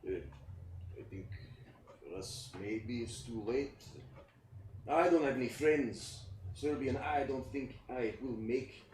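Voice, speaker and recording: A man's voice: mid-range voice, takes it slowly, long pauses, a little mellow, close to an everyday voice. A man playing a blunt, war-weary Albanian film director in a staged play reading, plain-spoken with careful phrasing. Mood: grave, sincere. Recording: echoey, distant, faintly noisy recording.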